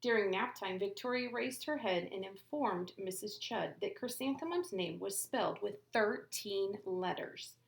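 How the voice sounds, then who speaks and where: A female teacher reading a picture book aloud in an expressive storytelling manner. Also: medium pitch, a little slow, gravelly, few pauses, roomy sound, rough recording. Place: classroom.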